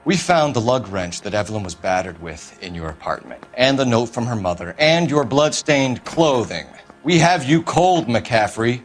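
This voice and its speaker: A man acts out a stern young American detective in a crime game. His pitch is mid-range and swings widely as he presses suspects in clipped, accusing lines. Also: sing-song, normal speed, hardly any pauses, faint music behind, natural voice. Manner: clipped, forceful interrogator. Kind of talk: video game voice acting, 1940s crime drama.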